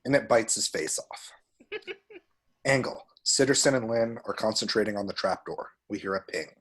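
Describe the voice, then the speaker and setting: A man with a husky voice reads screenplay action descriptions aloud as a narrator. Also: fairly low pitch, moderate pace, a bit bright. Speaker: table-read action narrator.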